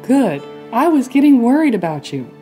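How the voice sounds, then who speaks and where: A woman's voice: a little high, big pitch swings, normal speed, dark tone, soft background music. A woman playing a stern but caring mother rabbit in a children's puppet show, fussy and motherly. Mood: concerned.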